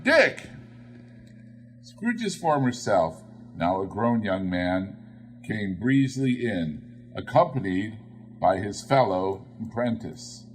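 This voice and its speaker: A man narrating a literary story as an audiobook reader, in a theatrical, expressive style. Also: on the low side, slow, a little hiss or hum. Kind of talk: dramatic literary story reading.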